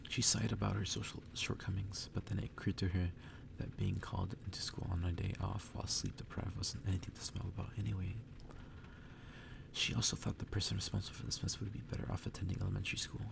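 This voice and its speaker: A man reading a story aloud in a hushed, breathy ASMR whisper. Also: little pitch movement, fast, background noise. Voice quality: breathy whisper, gravelly low voice. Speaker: ASMR reader.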